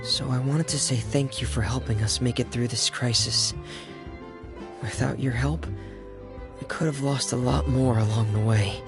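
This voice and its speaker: A man playing a solemn, loyal young man in a video game, speaking in a measured, earnest way. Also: mid-range voice, steady tone, creaky, lightly acted. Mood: earnest, grateful. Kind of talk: dramatic video game dialogue. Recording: music behind the voice.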